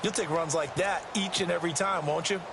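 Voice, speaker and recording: Heavy noise surrounds a high-pitched American football analyst. He is chatty and folksy, giving color commentary in a game and leaning on conversational asides. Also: fairly even intonation, brisk. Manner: conversational, fond of rhetorical questions. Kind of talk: sports commentary in a video game.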